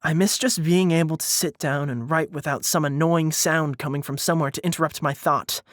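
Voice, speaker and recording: A man's voice: on the high side, fairly even intonation, a little fast, a bit bright, no room sound, studio quality, close to an everyday voice. A man playing a self-doubting male college student in audiobook dialogue, speaking in a hesitant, faltering, defensive way. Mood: wistful, hesitant. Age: young adult.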